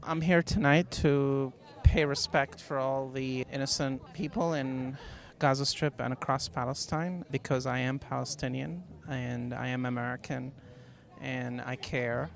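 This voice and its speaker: Gravelly, even-voiced man speaking earnestly. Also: medium pitch. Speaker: vigil attendee. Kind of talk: earnest interview statement.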